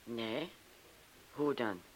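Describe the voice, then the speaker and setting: A man's voice: medium pitch, very slow, poor audio. A man acting in a radio play as a disillusioned, talkative young partygoer with a probing, cajoling manner. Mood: hushed, probing.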